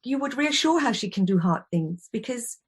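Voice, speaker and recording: A woman's voice: a little high, lively intonation, moderate pace, husky. Chatty woman in her forties. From Austria. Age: 40s. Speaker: guest, wellbeing expert and yoga teacher. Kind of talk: conversational interview.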